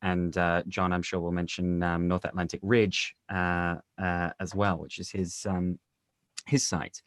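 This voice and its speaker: Deep-voiced man with halting phrasing. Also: flat delivery, dry recording, clean studio sound. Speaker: interview guest, actor and audio drama director. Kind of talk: casual panel conversation.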